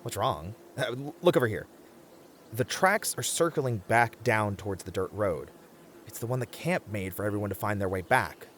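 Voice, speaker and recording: Man playing an anxious, animated young camper. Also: lowish voice, normal speed, a little hiss or hum. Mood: thoughtful. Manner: hesitant, stammering, wry. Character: anxious, sensible young male camper, exasperated voice of reason. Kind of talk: ASMR audio roleplay comedy acting.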